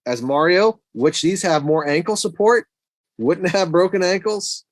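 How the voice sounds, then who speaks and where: A man's voice: sits high, a little slow, no echo. A middle-aged man co-hosting a podcast, chatting casually and offering commentary. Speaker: podcast co-host.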